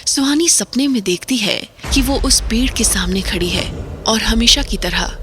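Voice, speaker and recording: A woman giving steady scripted narration for an audio drama. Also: fairly high pitch, measured pace, noisy background.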